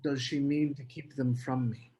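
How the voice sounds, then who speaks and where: An actor playing an anxious, evasive gentleman lodger, polite and formal, in a screenplay table read. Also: mid-range voice, fairly even intonation, normal speed, husky, natural voice.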